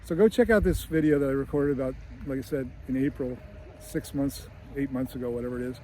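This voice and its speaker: A man's voice: medium pitch, fast, warm tone, a little hiss or hum, poor audio. A middle-aged man with a Boston accent, a screenwriter talking casually to camera outdoors near a beach, rambling and chatty with frequent restarts. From United States. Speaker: screenwriter and teacher.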